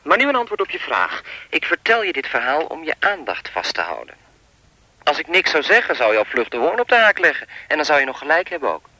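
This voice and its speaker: A menacing anonymous caller in a radio drama. His voice is strikingly sharp and forward, recorded very dry, with animated intonation. He rambles in an insinuating, falsely polite way, chatty and lewd as he taunts. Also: on the high side, a little fast, no echo, heavily acted. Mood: taunting, controlled. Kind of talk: radio play acting.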